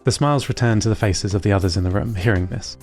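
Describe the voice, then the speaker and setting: A man as a measured, earnest robot narrator, music behind. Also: lowish voice, darkish tone, close to an everyday voice. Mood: measured, observant. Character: robot narrator recounting human behaviour, observant and earnest. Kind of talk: scripted audio fiction narration.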